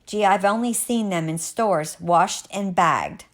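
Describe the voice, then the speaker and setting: A woman voicing a picky young boy who is wary but easily amazed, with a wide-eyed, expressive manner, as in a storybook. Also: medium pitch, takes it slowly, close to an everyday voice. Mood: disgusted.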